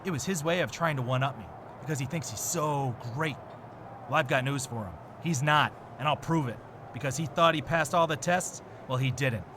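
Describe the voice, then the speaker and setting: A man's voice: on the high side, normal speed, lightly acted. Bitter wrestler, played by a man, noisy surroundings. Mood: resentful. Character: bitter, self-righteous male wrestler and trainer. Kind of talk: acted backstage interview promo. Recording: clear ambient background noise.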